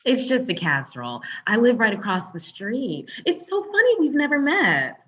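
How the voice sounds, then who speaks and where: A woman acts a friendly, coy neighbour lady of sixty in a comic script. Her bright voice is lively in pitch. She gushes in a neighbourly way over slightly muffled audio. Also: normal speed. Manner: gushing, neighbourly. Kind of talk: comedic script table read.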